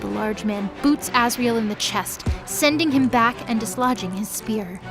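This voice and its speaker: Higher-voiced woman narrating over prominent music. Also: moderate pace. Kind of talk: scripted audio drama narration.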